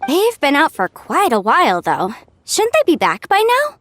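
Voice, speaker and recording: High, lively, breezy young woman. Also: moderate pace, slight background noise, exaggerated voice. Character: friendly, easygoing, bright young woman. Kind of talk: anime-style video game voice acting.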